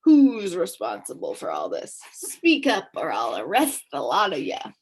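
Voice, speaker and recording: A woman's voice: on the low side, wildly swooping pitch, unhurried, creaky, husky, full character voice. A woman voicing a tiny, squat, fierce policeman in a storybook, blustering, officious and full of accusations. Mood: scowling.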